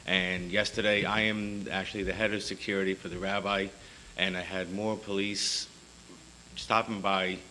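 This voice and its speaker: A man, head of security for a rabbi, speaking haltingly at public comment. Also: sits low, level tone, pushed and bright, big-room sound, a little hiss or hum, rough recording. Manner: halting, repeats words.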